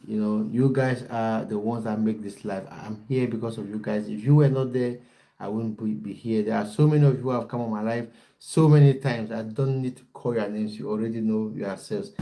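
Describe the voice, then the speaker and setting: A man's voice: mid-range voice, dark tone, poor audio. A man from Cameroon, a football coach chatting on a livestream and reading out viewer comments. He repeats his phrases and talks straight to his viewers.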